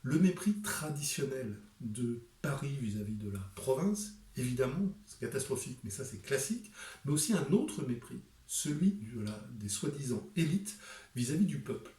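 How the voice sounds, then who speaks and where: Man, middle-aged, author pitching his book, emphatic, rhetorical, hesitant, mellow, picked up from a distance. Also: very animated, moderate pace, echoey room. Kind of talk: direct-to-camera book presentation.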